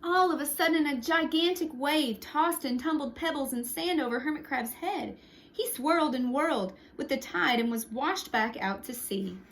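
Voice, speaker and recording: A woman reading a picture book aloud to young children, expressively, with short hushed asides to the listeners. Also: sits high, moderate pace. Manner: expressive, with hushed whispered sound words and asides. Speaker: storytime reader for young children.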